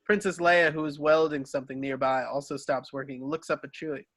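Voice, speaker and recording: Bright higher-voiced man narrating a script. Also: fairly even intonation, a little fast. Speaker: stage-direction narrator. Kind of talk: scripted narration in an amateur table read.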